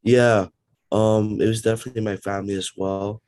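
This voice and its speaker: Young male student panelist from the Philippines, even flat intonation, dry sound, casual answers. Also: fairly low pitch, brisk, no room sound. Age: young adult.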